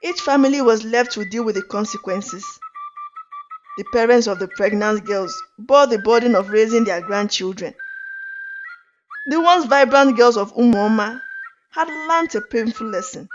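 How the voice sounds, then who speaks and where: Woman storyteller, lively swooping intonation, deliberate dramatic folktale narration, dull recording, flute music behind. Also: a little high. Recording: dull lo-fi audio under music. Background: clear flute music.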